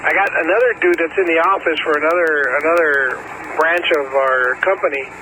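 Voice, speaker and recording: A man in casual technical conversation over two-way radio, informal and slangy, speaking haltingly as a ham operator. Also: fairly high pitch. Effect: two-way radio transmission. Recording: noisy ham radio repeater audio.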